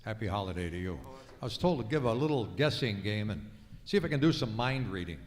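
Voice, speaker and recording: A man's voice: fairly low pitch, lively intonation, fast talker, big-room sound. A man emceeing with casual, wry, self-deprecating stage patter as a musical entertainer. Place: museum performance space, live before an audience. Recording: live stage with loud guitar music.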